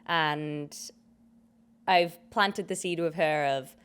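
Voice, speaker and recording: A young British woman hosting her own podcast in a relaxed, chatty, jokey way, her voice hoarse from a cold. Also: low voice, slow. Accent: British English. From Britain. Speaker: podcast host. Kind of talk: casual chat.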